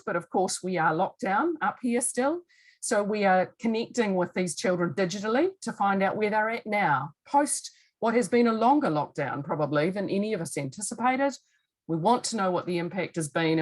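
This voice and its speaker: A woman presenting study findings in a chatty, brisk webinar talk over a video call. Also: lowish voice. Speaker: lead researcher of a longitudinal study.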